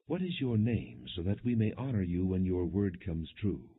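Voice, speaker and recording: A man's voice: deep voice, sing-song, normal speed, slightly rough, heavily acted. A man voicing an earnest, devout, pleading husband in a scripture reading, on slightly muffled audio. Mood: respectful asking.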